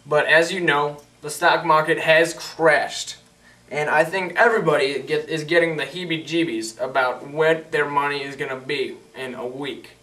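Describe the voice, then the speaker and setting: A man's voice: on the high side, fairly even intonation, normal speed, pushed and bright. A man playing a genial, dapper 1920s newsman who presents in period slang with a showman's manner. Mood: grave. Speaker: news anchor. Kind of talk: scripted 1920s news broadcast. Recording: echoey room, distant mic.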